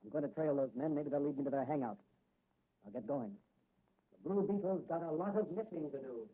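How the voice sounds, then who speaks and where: Bold, high-voiced radio crime fighter. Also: male voice, slightly rough. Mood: resolute. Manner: brisk, commanding, declamatory. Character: bold, confident crime-fighting hero, young policeman. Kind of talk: 1940s radio acting. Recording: muffled, hissy 1940s radio recording.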